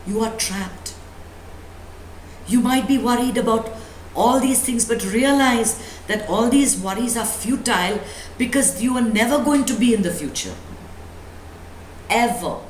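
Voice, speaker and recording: A woman's voice: on the high side, unhurried, long pauses. A woman speaking as a spiritual teacher, giving an emphatic motivational talk full of rhetorical questions and repetition. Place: indoor room at a live talk. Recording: echoey room with faint background noise.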